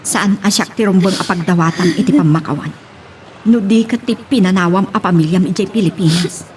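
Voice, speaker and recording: A woman's voice: on the low side, a little fast, darkish tone, a little hiss or hum, close to an everyday voice. A woman with an Ilocano Filipino accent playing a concerned, scolding friend in an audio melodrama, probing and emphatic. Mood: reproachful. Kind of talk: Ilocano radio-style melodrama acting.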